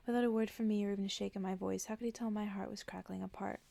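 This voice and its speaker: Creaky-voiced woman reading softly, ASMR style. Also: medium pitch, steady tone, very fast. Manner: soft-spoken, often dropping into whisper. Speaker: ASMR host reading a story aloud. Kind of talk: ASMR bedtime story reading.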